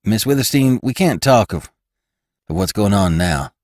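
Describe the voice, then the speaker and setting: A man's voice: lowish voice, animated, moderate pace, darkish tone, dry recording, studio quality, voice pushed up, close to an everyday voice. A man voicing a gaunt, earnest ranch rider who speaks in an urgent, emphatic way.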